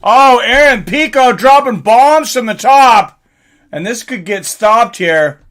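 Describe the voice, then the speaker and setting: High-pitched, bright-voiced man calling fights live. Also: slow pace. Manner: excitable, informal, swearing, reacting aloud. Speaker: host of a live fight reaction stream. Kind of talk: live fight commentary mixed with casual chat to viewers.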